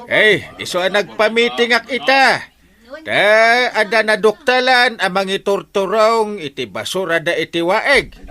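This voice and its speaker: A man acting an excitable, bossy, folksy village captain in an Ilocano comedy radio drama, with an Ilocano accent and exclamatory delivery. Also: high voice, exaggerated voice. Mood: excited, jovial. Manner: exclamatory, starts phrases with drawn-out interjections. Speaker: lead comic character.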